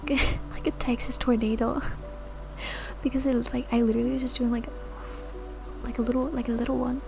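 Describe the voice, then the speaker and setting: A woman streamer whispering soft, giggly ASMR chat to her viewers into a sensitive mic. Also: a little high, little pitch movement, a little fast, creaky, music in the background. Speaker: VTuber streamer.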